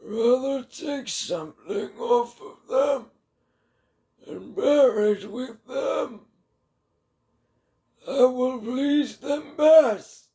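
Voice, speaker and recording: A man voicing a frail, mournful old hermit saint in a dramatic book reading, with a quavering, halting, theatrical delivery. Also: extremely high pitch, creaky, warm tone, exaggerated voice. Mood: bitter, advising. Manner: theatrical, very slow, halting, drawn-out. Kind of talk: dramatic audiobook character reading.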